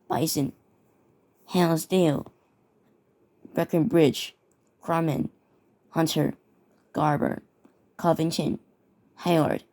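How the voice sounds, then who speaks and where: A woman's voice: sits low, a little mellow. A woman reading mock severe weather warnings aloud with her own commentary, often stumbling over and repeating words. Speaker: mock emergency alert narrator.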